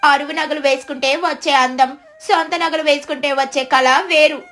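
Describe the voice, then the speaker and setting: A woman's voice: sits high, steady tone, brisk, a bit bright. A woman voicing an eager, vain village wife in a dramatic storybook tale. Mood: boastful.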